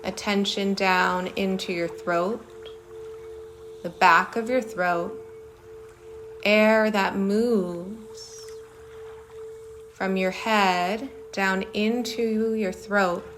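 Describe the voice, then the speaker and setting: A woman leading a guided body-scan meditation in a soothing manner, speaking in short phrases with long pauses between them. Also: mid-range voice, steady tone, fairly bright tone, soft background music. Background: singing bowls.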